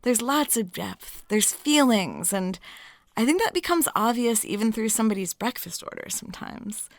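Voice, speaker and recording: A woman playing a flirty, lonely, talkative waitress in an intimate roleplay, chatting softly and casually and sometimes dropping near a whisper. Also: fairly high pitch, animated, normal speed, dry recording, clean studio sound. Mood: wistful, earnest. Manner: soft, rambling, giggly, drops into near-whispers. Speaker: audio roleplay performer.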